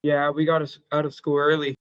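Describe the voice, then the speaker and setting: A man's voice: a little high, monotone, brisk, a bit bright, clear, resonant tone, no room sound, highs cut off, natural voice. An actor reading a skinny, modest fifteen-year-old boy in casual scripted dialogue. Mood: friendly.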